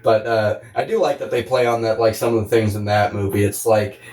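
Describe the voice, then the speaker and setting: A man's voice: on the low side, fairly even intonation, fairly quick, darkish tone, far from the mic. A man co-hosting a podcast, telling rambling, profanity-laced stories in casual chat and acting out reactions. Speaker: podcast co-host. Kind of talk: casual chat about films. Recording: roomy, echoey, away from the mic.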